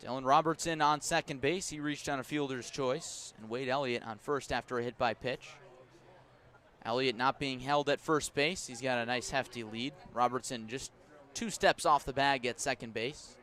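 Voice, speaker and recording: A man doing live radio baseball play-by-play, describing each pitch in detail and filling in with player stories. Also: moderate pace. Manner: descriptive, storytelling between pitches, rising to excited calls on big plays.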